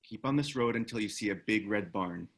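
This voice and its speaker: An actor at a table read playing a gruff, weary ex-military man who talks tersely and gives orders. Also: fairly low pitch, animated, fairly quick, a bit bright. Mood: terse, commanding. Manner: terse, commanding. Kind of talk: screenplay table read acting.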